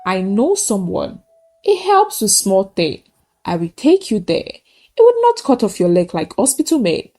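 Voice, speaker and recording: A woman's voice: medium pitch, wildly swooping pitch, measured pace, dark tone, heavily acted. A woman voicing a helpful market woman friend who urges and reassures persuasively. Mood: urging. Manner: urging, reassuring.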